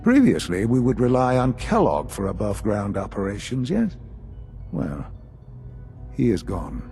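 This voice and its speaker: An actor playing a grave older institute leader in a video game, speaking formally and deliberately with measured pauses. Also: male voice, on the low side, huge pitch swings, gravelly, dark tone, faint music behind, full character voice. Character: grave older male leader of a secretive science institute, dying.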